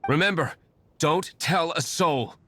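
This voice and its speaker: An actor playing an aloof, wealthy young vigilante in a video game, with a formal, deadpan, curt manner. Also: male voice, on the high side, slow, slight background noise. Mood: stern. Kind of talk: dramatic video game voice acting.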